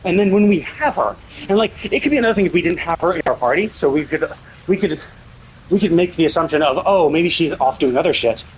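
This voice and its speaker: Exasperated male streamer, slightly high voice. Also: expressive, quick pace, slight background noise, poor audio. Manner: exasperated, emphatic, swears freely. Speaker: livestreamer. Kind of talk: casual gameplay commentary rant.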